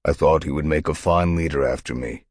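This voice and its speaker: A man playing a weary former leader tom cat, low and husky in a close, dry reading. He talks quietly, with a sigh in his tone. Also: a little fast, no echo. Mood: regretful. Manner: quiet, sighing.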